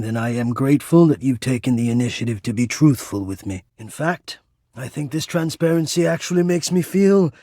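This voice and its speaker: A man voicing an anxious, melodramatic, yearning male game character who hides away, with florid, halting, theatrical speech. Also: medium pitch, a little mellow, no room sound, studio quality, natural voice. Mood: grateful, wistful. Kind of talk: video game character acting.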